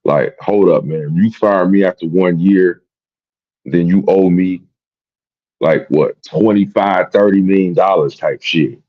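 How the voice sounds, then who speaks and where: A man's voice: sits low, a little slow, dull audio. A man hosting a sports talk show, rambling and repeating himself in casual, profane commentary. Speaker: sports podcast host.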